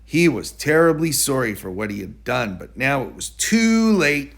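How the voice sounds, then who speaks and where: A man reading a children's story aloud in an expressive storytelling style. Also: medium pitch, moderate pace, creaky. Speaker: storybook reader.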